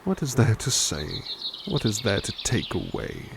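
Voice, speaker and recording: A man playing a gentle, kindly butler who softly reads poems aloud in an ASMR roleplay and muses thoughtfully on each one. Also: on the low side, huge pitch swings, measured pace, vocal fry, background noise, exaggerated voice. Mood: musing. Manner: soft, musing, thoughtful asides between poems. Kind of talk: ASMR roleplay poetry reading.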